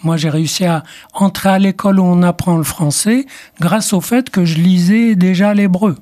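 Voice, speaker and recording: A man's voice: high-pitched, darkish tone. An older man, a psychoanalyst with Arabic as his first language, answering radio interview questions in a reflective, hesitant way, from Morocco. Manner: slow, reflective, with hesitant restarts. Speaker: psychoanalyst guest.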